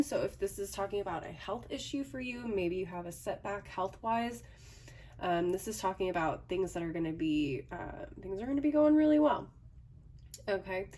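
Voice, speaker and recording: Woman tarot reader, slightly hoarse, casual and musing, mic a bit distant with faint hiss. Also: medium pitch, darkish tone. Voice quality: slightly raspy. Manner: thinking aloud with frequent "okay" and "um". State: hoarse from a cold.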